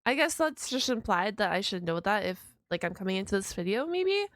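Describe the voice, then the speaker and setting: A woman's voice: medium pitch, very animated, a little fast, no echo, clean studio sound. A woman hosting a reaction video in a casual, chatty way, exclaiming and musing aloud in informal slang.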